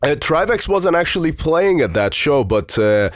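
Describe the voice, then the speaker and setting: A man's voice: a little high, very animated, moderate pace, no echo, clean studio sound. A man from Iran hosting a podcast, reading a scripted intro and then chatting casually with his guest, swearing freely and using lots of fillers. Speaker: podcast host.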